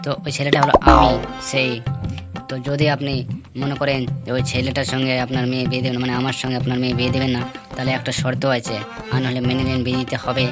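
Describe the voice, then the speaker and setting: A woman's voice: rumbling low, quick pace. A woman voicing a cheeky, scheming young male student with a colloquial manner in a comic cartoon. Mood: sly, bargaining. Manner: colloquial, brazen, excitable. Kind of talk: amateur comic cartoon voice acting. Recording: music behind the voice.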